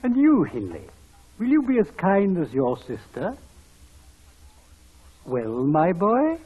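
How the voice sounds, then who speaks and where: An actor playing a kindly father and master of the house in a 1940s radio drama, with a husky voice. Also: sits high, wildly swooping pitch, slow pace, full character voice. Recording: tinny narrow old radio sound.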